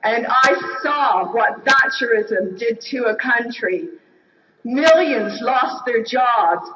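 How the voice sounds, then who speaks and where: A woman's voice: on the high side, lively intonation, takes it slowly. Woman in her fifties giving a fiery floor speech. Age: 50s. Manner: earnest, rising to forceful. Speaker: union delegate running for president. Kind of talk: impassioned convention floor speech. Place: large convention hall. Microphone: floor microphone. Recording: echoey hall, distant, dull audio.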